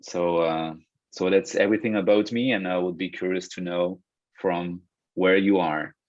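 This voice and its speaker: A man's voice: sits low, steady tone, moderate pace. A young man from Belgium with a French accent, whose first language is French, coaching a book club over a video call and talking hesitantly with many fillers and restarts. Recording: dull lo-fi video call audio.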